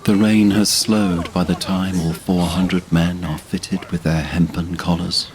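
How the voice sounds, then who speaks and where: A man narrating a fantasy audio drama in a solemn, measured manner. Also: deep voice, flat delivery, crowd noise.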